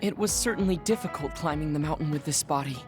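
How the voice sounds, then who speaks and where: An actor playing a serious, formal young man in a game cutscene, speaking in a measured, polite way. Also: a little high, natural voice. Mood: resolute. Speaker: game character. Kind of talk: dubbed game cutscene dialogue. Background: game music.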